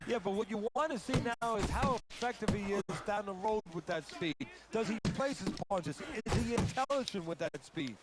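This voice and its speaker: A man's voice: high voice, lively intonation, moderate pace, few pauses, loud music behind the voice. A man playing a matter-of-fact boxing analyst commentating in a video game. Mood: analytical.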